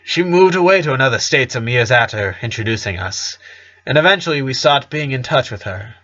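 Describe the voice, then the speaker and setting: An easygoing middle-aged dad acted by a male reader from a storybook, chatty and teasing as he explains things. His voice is mid-pitched, on a slightly muffled recording. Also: lively intonation, a little fast, a bit bright, natural voice. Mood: easygoing, reminiscing.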